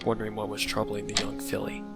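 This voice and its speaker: A man reading scripted narration for a dark fan fiction story. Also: on the low side, monotone, fast, creaky. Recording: loud music under the narration. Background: loud music.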